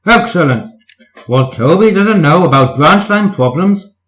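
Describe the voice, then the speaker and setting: A man's voice: fairly high pitch, animated, unhurried, a bit bright, pitched above the performer's own voice. A man voicing a stern, pompous but fair railway boss in a children's storybook, speaking severely and emphatically. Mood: approving.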